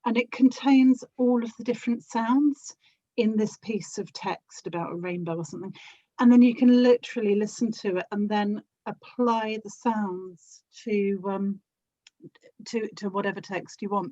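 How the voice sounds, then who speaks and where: A woman's voice: mid-range voice, fairly even intonation, moderate pace, dark tone, lo-fi sound. A woman chatting casually and expressively as an interview guest, with an enthusiastic manner. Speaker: guest, audio drama actor and producer.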